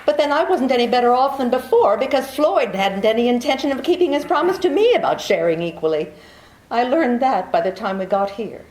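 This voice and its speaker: A woman's voice: on the high side, lively intonation, fairly quick, a little mellow, a little hiss or hum, natural voice. A woman playing a beguiling, deceitful young woman in trouble in a 1940s-style radio play, coy and pleading. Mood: evasive. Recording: echoey room.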